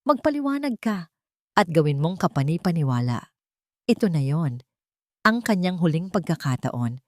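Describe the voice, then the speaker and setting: A woman's voice: lowish voice, big pitch swings, normal speed, no echo, clean studio sound. A woman voicing a proud male doctor who is cold and commanding in an audio drama.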